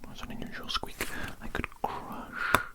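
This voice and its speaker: A performer voicing a self-important but polite alien head doctor in a whispered ASMR roleplay, speaking deliberately and repeating phrases soothingly. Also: lightly acted. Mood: smug, resentful.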